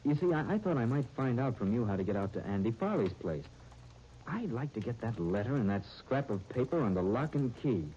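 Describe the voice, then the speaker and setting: An old, noisy radio drama recording with a muffled top. A man acts an excitable, boyish young American with a lively pitch range, halting and restarting as he earnestly talks. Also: natural voice. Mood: obliged. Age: young adult. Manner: halting, stammering over words. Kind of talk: 1930s radio drama acting.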